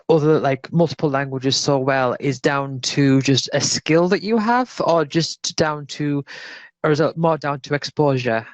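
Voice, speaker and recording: A man's voice: medium pitch, no room sound, lo-fi sound. A man from England in casual conversation, asking questions hesitantly with restarts. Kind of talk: casual chat.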